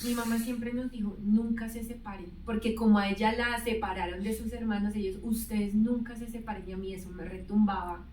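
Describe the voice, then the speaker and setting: A woman's voice: medium pitch, fairly even intonation, fairly quick, few pauses, darkish tone. A woman in her thirties, a native English speaker, telling a personal testimony as a guest, with many hesitant fillers. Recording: roomy, distant mic, faint background noise.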